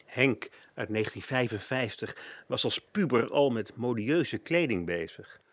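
A man narrating a script for local radio. His voice is husky and mid-pitched with lively intonation, captured in a dry recording. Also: measured pace, no echo.

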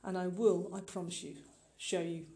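Mellow-voiced middle-aged podcaster, earnest and emphatic. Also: medium pitch, level tone, normal speed, creaky. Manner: earnest, emphatic, addressing the listener directly. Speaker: podcast host and online marketing business owner. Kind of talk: informal solo podcast monologue.

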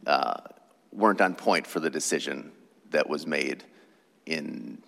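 A man questioning counsel from the bench as a judge, probing and thinking aloud in halting phrases. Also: rumbling low, creaky, fairly bright tone. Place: courtroom. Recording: long courtroom reverb.

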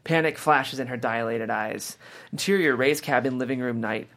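A man's voice: mid-range voice, normal speed, a bit bright. Man narrating screenplay stage directions.

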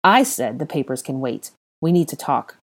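A woman's voice: low voice, animated, brisk, dark tone. A woman voicing a polite, firm young man with a level, stoic manner in an audiobook story.

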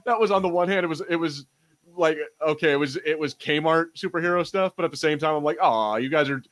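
A man's voice: fairly high pitch, a little fast, a bit bright. A man co-hosting a podcast in casual pop-culture banter, speaking informally and swearing freely. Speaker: podcast host.

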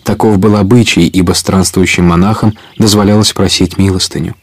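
Low-voiced guy narrating an audio play, scripted storybook style. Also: steady tone, fairly quick, no room sound.

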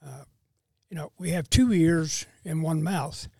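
A man in his seventies, a retired father speaking as a podcast guest, slow and plain-spoken in casual conversation. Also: fairly high pitch, gravelly, mellow, husky, no echo, studio quality. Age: 70s.

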